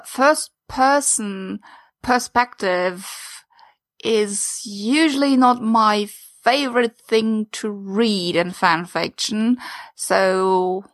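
Slow-talking woman, medium pitch. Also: a bit bright. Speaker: podcast listener giving recorded feedback. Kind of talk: personal account, spoken informally.

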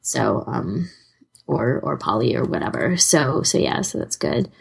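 A woman's voice: a bass voice, sing-song, measured pace, gravelly, husky. A woman co-hosting a podcast in casual fan chat, rambling a little and correcting herself as she goes. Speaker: podcast co-host.

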